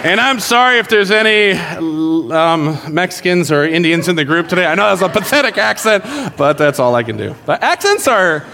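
A man's voice: high voice, expressive, normal speed, gravelly, hardly any pauses, bright, forceful tone, in a large hall, some chatter behind, a little hiss or hum. A pastor speaking to his congregation in a casual, joking sermon style. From South America. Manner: jokey, conversational, addresses congregation directly. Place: church sanctuary.

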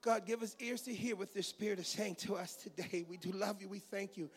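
A man in his fifties preaches in a raspy, high-pitched voice with a gravelly edge. As a pastor he mixes teaching and preaching in one sermon. He reads scripture and prays, and drops goofy, joking asides in between. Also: steady tone, a little fast. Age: 50s.

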